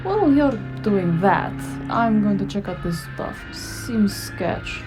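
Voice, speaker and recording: A woman's voice: medium pitch, expressive, slow pace, poor audio. A woman playing a casual, streetwise character in a noir audio drama. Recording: music behind the voice.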